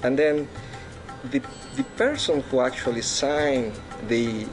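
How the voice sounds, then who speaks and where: A man with a Mexican accent speaks haltingly and earnestly about food and history, pausing often on fillers. His voice sits at an average male pitch. Clear music plays behind him. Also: very animated. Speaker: restaurant guest. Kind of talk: explaining food and history in conversation.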